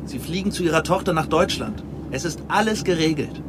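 An actor playing a flustered, apologetic official in a radio drama, speaking politely and a little hesitantly. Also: male voice, on the high side, expressive, fairly quick, background noise, close to an everyday voice. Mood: brisk, formal. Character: apologetic, flustered male official escorting an old woman. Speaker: supporting character.

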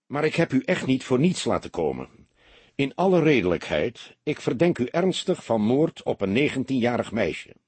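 A man voicing a laconic police detective in an audiobook, calm, unhurried and politely needling. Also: medium pitch, slightly rough, no room sound. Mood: calm, accusing. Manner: unhurried, dry, politely provocative. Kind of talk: audiobook character voice.

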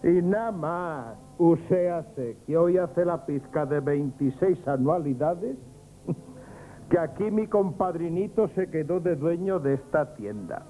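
An actor from a radio comedy playing a jovial, pompous friend of a shopkeeper, with flowery speech and a Spanish accent. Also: male voice, on the high side, lively intonation, takes it slowly, mellow. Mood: nostalgic, merry. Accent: Spain. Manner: pompous, flowery, grandiloquent wordplay, chuckling. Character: jovial old shop friend Liborio, pompous flowery talker. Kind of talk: comic radio theatre acting. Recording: noisy 1970s radio drama tape.

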